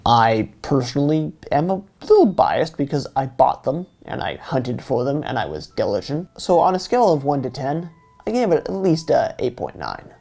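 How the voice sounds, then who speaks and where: A man's voice: medium pitch, sing-song, moderate pace, gravelly, warm tone. A man giving a scripted video review in an earnest, enthusiastic fan's delivery. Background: faint music.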